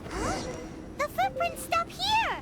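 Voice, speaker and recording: A woman voicing a tiny childlike fairy companion in an anime video game, squeaky, babyish, exclamatory and impatient. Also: big pitch swings, slow pace, very noisy, heavily acted. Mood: excited. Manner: exclamatory, talks in third person. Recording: loud game music over voice.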